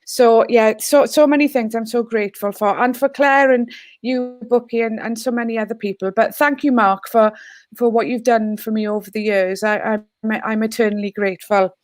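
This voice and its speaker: Welsh woman, Welsh first language, teacher guest, earnest, slightly high, dry video-call sound. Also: steady tone, moderate pace, no echo. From Wales. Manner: earnest, heartfelt. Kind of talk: online panel talk.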